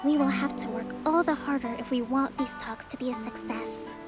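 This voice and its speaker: An actress playing a young priestess-leader who speaks in a calm, measured, reassuring way, in a video game. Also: female voice, sits high, lightly acted. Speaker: divine priestess of an island. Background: game music.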